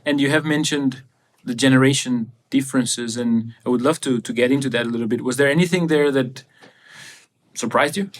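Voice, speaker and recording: A man's voice: mid-range voice, a little fast. A man hosting a podcast interview, asking questions hesitantly and searching for words. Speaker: podcast host. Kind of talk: interview conversation.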